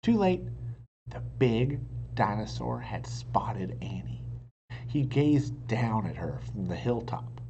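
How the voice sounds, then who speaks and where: A man reading a children's book aloud in an expressive narrating style. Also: medium pitch, slow pace, vocal fry. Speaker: storybook reader. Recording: dull sound, faint noise.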